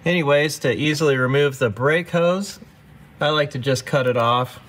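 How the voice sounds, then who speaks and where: A man's voice: a little high, normal speed, fairly bright tone, a little hiss or hum. Man plainly explaining a car repair. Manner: plain, practical, instructive. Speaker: car restoration video host. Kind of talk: step-by-step repair narration.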